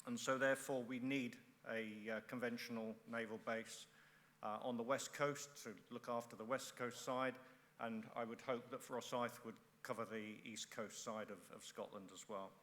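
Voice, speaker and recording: A man's voice: mid-range voice, steady tone, fairly bright tone, a little hiss or hum. Hesitant man speaking in a reverberant hall. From England. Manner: halting, many uhs and restarts. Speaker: first-time conference speaker, ex-air force. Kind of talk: conference floor speech. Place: large conference hall.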